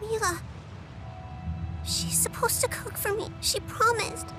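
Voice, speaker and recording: Super high little kid voice, stubborn and earnest game character, music loud behind her. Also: steady tone, slow. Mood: hurt, disbelieving. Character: earnest little girl, hurt, stubborn, childish. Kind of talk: English video game voice acting.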